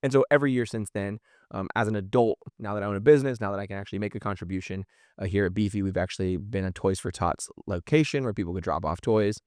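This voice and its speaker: A man's voice: lowish voice, quick pace, a little mellow, dry recording, clean studio sound. A man in his thirties, a podcast guest telling stories casually and informally, with "like" peppered through his talk. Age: 30s. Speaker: podcast guest, marketing business owner. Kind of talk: casual conversational storytelling.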